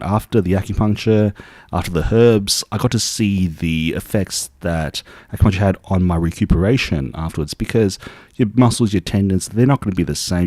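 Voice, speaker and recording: A young man, born in Taiwan, working as an acupuncturist and telling his story in a relaxed, casual interview full of restarts. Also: low-pitched, no echo. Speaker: acupuncturist guest.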